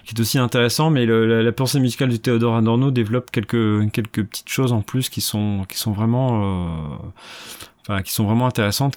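A man's voice: on the low side, a little mellow, no room sound. A young man hosting a solo podcast into a close desk mic, thinking aloud unscripted with many restarts and fillers. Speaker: podcast host, doctoral student.

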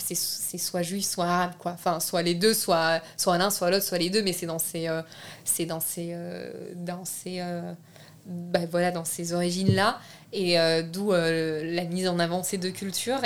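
A young woman from France, a filmmaker speaking as a guest in a relaxed interview. Her voice sits a little low. She hesitates often, fills pauses and restarts her sentences as she searches for words. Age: young adult. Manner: hesitant, many restarts and fillers. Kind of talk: casual interview.

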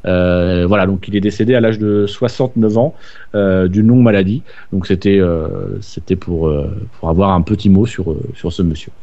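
A man's voice: low voice, normal speed, dark tone. Hesitant man on a dull lo-fi line. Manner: hesitant, repeats words while searching. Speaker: podcast host. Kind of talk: casual motorsport chat.

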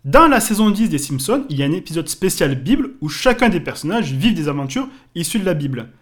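Brisk man with a light voice. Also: a little high, sing-song. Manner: brisk, says "voilà" often. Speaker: podcast co-host. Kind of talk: casual chat listing references.

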